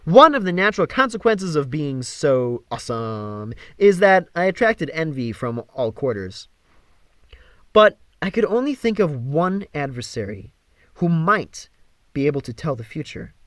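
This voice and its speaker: A man reading a fantasy novel aloud as an expressive, theatrical audiobook narrator. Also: a little high, measured pace, dry recording. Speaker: audiobook reader.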